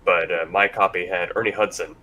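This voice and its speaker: A man's voice: low voice, fairly even intonation, a little fast, bright, forceful tone. A man hosting a casual panel discussion in a rambling, self-deprecating way. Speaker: panel host. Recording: faint background noise.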